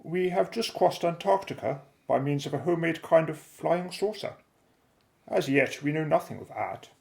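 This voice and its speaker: A man's voice: a little high, animated, normal speed, gravelly. A man playing an earnest, eccentric pilot adventurer who speaks formally in a radio play.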